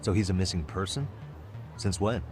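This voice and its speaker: A man's voice: sits low, normal speed, natural voice. An actor voicing a wry young male private detective in a video game, dry and sardonic. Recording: game audio with music behind.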